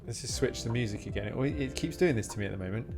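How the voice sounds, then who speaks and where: A man's voice: medium pitch, fast talker. A man live streaming a game, chatting casually in a rambling, jokey way. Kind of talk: casual gaming chat.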